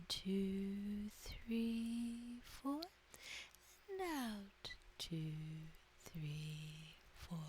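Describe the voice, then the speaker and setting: Woman, ASMR meditation host, extremely slow delivery, soft breathy near-whisper, soothing, faint hiss behind. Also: medium pitch. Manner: gentle, soothing, deliberate.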